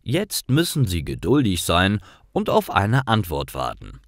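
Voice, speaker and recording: A man narrates a scripted story for language learners at a very unhurried pace. His phrases are short and carefully separated, and his intonation moves widely up and down. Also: sits low, wildly swooping pitch, no room sound, studio quality.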